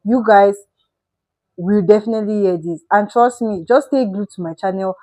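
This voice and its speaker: A woman's voice: mid-range voice, normal speed, mellow, dry recording. A woman introducing her channel's video, speaking in an earnest, pleading way and repeating her asks. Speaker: channel host.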